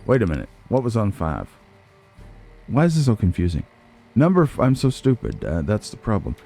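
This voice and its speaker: A man's voice: on the low side, wildly swooping pitch, normal speed, vocal fry, dark tone, soft background music. A man giving casual let's play commentary on a video game, musing to himself and poking fun at himself. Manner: musing to himself, self-deprecating. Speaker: gaming video host, let's player.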